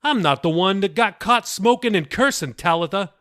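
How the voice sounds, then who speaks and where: A man's voice: sits high, animated, moderate pace, a bit bright, voice pushed up. A man voicing a middle-aged pastor father who scolds and pleads with his daughter in a raised, earnest voice. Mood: scolding.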